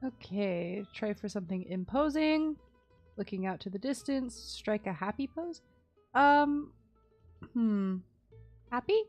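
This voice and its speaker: A woman's voice: mid-range voice, animated, slow, pauses often, darkish tone, faint music behind. A woman livestreaming a game with casual, gushing, giggly and slangy commentary.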